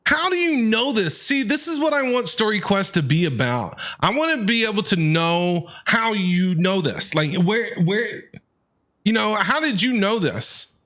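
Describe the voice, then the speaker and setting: Male streamer, fairly high voice, very expressive intonation, reactive casual playthrough commentary. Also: a little slow. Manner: reactive, opinionated, repeats himself. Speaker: game streamer. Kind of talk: casual playthrough commentary, reading game text aloud. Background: faint game music.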